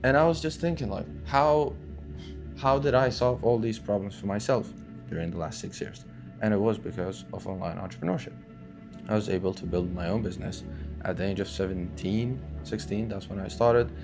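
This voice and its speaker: Earnest young man over soft guitar. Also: fairly low pitch, moderate pace, vocal fry. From Syria, raised in Sweden. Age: 20s. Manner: earnest and loose, many fillers and restarts. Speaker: online entrepreneur. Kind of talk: talking-head motivational vlog.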